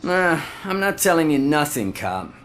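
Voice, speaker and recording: An actor playing a surly, mocking tattoo artist in a video game, with a sarcastic, defiant manner. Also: male voice, on the high side, big pitch swings, normal speed, a bit bright, faint music behind. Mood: grudging. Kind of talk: video game dialogue acting.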